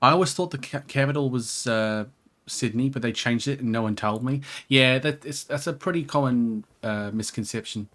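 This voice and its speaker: A man with a mid-pitched voice talks casually over a co-op game. He rambles, jokes around and swears freely, like a streamer chatting with a friend. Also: moderate pace, a bit bright. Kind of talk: casual co-op let's play chat.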